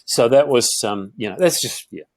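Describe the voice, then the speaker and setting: A middle-aged man from Northeast England with a Geordie accent softened by years in New Zealand, a podcast guest who talks in a rambling way with many fillers and restarts. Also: medium pitch, expressive, moderate pace, dry recording. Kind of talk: conversational interview.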